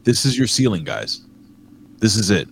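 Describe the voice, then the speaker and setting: Deep, animated American man, blunt and teasing. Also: moderate pace. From United States. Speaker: co-host of a wrestling review show. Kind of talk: casual banter. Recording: clear background noise on mic.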